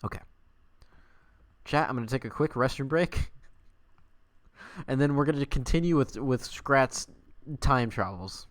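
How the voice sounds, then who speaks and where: A man's voice: medium pitch, a little slow, pauses often. A man hosting a livestream, mixing mock-dramatic story reading with casual chat in a tongue-in-cheek way. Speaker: livestream host.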